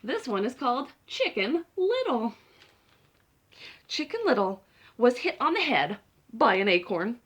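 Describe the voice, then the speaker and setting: Female children's story time host: high voice, very lively intonation, reads aloud and talks straight to little listeners. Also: measured pace, long pauses, husky. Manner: animated, addressing small children directly.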